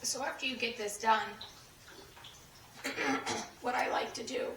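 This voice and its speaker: A young woman with a hoarse, fading voice, chatting through a cleaning demonstration with lots of fillers and restarts. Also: fairly high pitch, fairly even intonation, measured pace, background noise. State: losing her voice. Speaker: cleaning tips creator. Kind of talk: casual how-to demonstration. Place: home kitchen. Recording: echoey room, voice from a distance.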